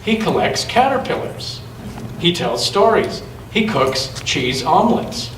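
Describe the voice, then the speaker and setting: A man's voice: high-pitched, sing-song, unhurried, a bit bright, pitched above the performer's own voice, exaggerated voice. A man voicing a friendly, inquisitive pink pig from a children's storybook, recorded in an echoey room. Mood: supportive. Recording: echoey noisy room, distant mic.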